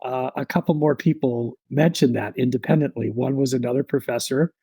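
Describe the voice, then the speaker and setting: Man, thirties, podcast guest, mellow tone, dry close sound, rambling storyteller. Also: mid-range voice, a little fast, creaky, breathy edge, no room sound. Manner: relaxed, reflective, rambling with many fillers.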